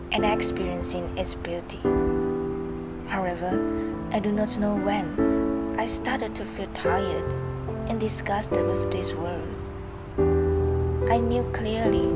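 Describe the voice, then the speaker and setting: A woman's voice: mid-range voice, lightly acted. A woman playing a despairing daughter solemnly reading a farewell letter in a stage drama. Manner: slow, solemn, apologetic. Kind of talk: dramatic letter monologue in a student play. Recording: prominent music under the voice. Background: loud music.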